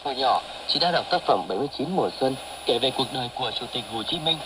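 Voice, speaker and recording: Brisk male feature narrator, far-off noisy shortwave broadcast. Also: mid-range voice, lively intonation. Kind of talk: scripted radio narration. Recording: noisy distant shortwave radio reception.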